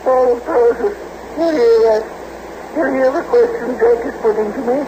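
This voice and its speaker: An actor playing a cheerful, cryptic old hermit with a lilt, in 1940s radio drama style, on a noisy old broadcast. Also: male voice, very high-pitched, normal speed, lo-fi sound. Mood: mystical. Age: older. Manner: lilting, chuckling, mystical old-fashioned speech. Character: old male hermit, merry, cryptic, roundish.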